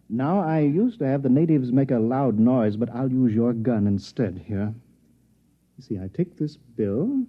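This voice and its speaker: An actor playing an urbane, affable and smug con man with a husky voice in a 1950s radio drama. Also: medium pitch, full character voice. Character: genteel, talkative male con man gone native in jungle. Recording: dull vintage 1950s radio recording.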